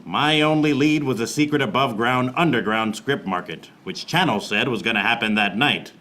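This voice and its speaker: An actor playing a wry, beleaguered private eye, narrating a noir radio play in a deadpan manner. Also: male voice, medium pitch, moderate pace, close to an everyday voice. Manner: deadpan, fourth-wall-breaking.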